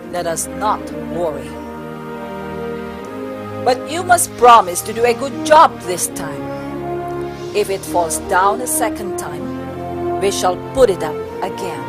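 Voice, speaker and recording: Woman acting devout nun foundress, stage play, solemn, motherly but firm, slow delivery, music behind. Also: mid-range voice, expressive. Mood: calm resolve.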